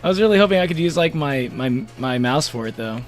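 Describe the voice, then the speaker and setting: A man chats casually with his viewers while he plays a game on stream. His voice sits a little high for a man, and he rambles, restarts his sentences and laughs often. Faint game music plays behind him. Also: expressive, fast talker. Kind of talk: casual live-stream chat while gaming.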